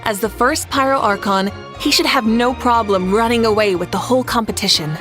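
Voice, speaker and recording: A woman's voice: a little high, fairly quick, music in the background, natural voice. A woman voicing a composed, confident young female ruler in a video game, assured and good-humored.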